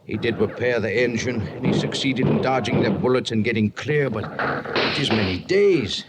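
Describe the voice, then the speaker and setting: Man acting a weary outpost boss in an old radio adventure, very formal n measured, earnest. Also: medium pitch, lively intonation, heavy background noise, lightly acted. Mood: despairing. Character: earnest, weary male leader of a besieged jungle outpost.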